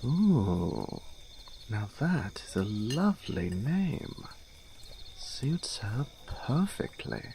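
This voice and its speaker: A man's voice: mid-range voice, big pitch swings, very slow, vocal fry, noisy background, exaggerated voice. A man playing a suave young nobleman in a fantasy audio drama, airy, genteel and teasing. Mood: amused, approving.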